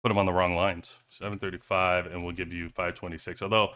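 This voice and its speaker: Bright-voiced chatty male stream host. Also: deep voice, little pitch movement, quick pace. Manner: rambling, joking asides. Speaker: livestream host. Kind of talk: casual chat. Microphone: cheap headphones headset.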